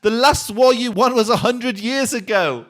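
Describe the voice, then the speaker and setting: A man arguing forcefully in a street debate, assertive and quick to push back. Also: extremely high pitch, a little hiss or hum. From United Kingdom. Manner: assertive, argumentative, calls others bro.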